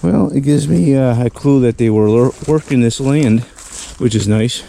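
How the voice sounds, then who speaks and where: A hoarse man with a Western New York accent talks his way through a cold outdoor hunt, his low, dark voice gravelly and worn from a cold. He rambles with fillers and gets excited over each find. Faint outdoor noise sits behind him. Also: normal speed. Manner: rambling, enthusiastic about finds, many fillers. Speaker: metal detecting vlogger. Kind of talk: casual narration while detecting outdoors. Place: outdoors in a snowy old park.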